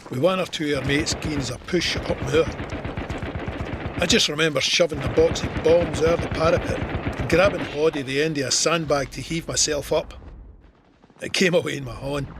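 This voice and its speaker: Guy playing a scottish ww1 corporal telling his war story, slightly high voice, gunfire behind him. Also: a little fast, noisy background, close to an everyday voice. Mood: urgent recollection. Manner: plain-spoken, matter-of-fact storytelling. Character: Scottish First World War corporal recounting his memories. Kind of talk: first-person audio drama narration. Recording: artillery and gunfire effects behind.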